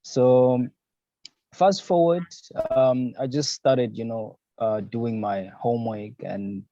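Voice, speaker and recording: A man's voice: medium pitch, fairly even intonation, slow pace, mellow, dry recording. A young man from Zambia, a fashion designer, answering interview questions in an easygoing way with lots of fillers. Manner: easygoing, many fillers and "you know, man". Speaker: fashion designer, podcast and radio host. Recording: dull lo-fi sound.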